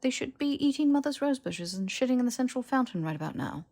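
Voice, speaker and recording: A woman acts a young highborn male wizard for a fan-fiction audiobook. He is sneering and testy, drawling his sarcasm with dramatic scorn. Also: expressive, fast, darkish tone. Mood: scornful.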